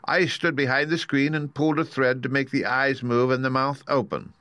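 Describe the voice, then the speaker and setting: A man's voice: medium pitch, fairly even intonation, moderate pace, pushed and bright, dry recording, pitched above the performer's own voice, natural voice. A man voicing a meek, pleading little old fake wizard with a trembling voice, in a storybook reading. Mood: confiding.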